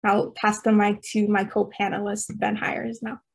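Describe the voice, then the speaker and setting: Young woman from Ethiopia, mid pitch, flat steady read of prepared panel remarks. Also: moderate pace, creaky, husky. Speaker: student panelist, tutor. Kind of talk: reading a prepared speech. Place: home room on a video call.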